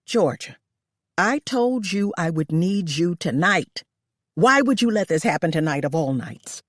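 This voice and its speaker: A woman reading aloud, voicing a cruel wealthy female employer who yells and screeches at her maid. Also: expressive, unhurried, no echo, studio quality. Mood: furious. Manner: shrill, shouting.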